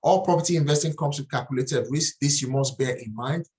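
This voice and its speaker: A man's voice: mid-range voice, brisk, a little mellow. Nigerian-accented man presenting a webinar. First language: Nigerian language. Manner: earnest, repeats and restarts phrases. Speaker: property investing trainer.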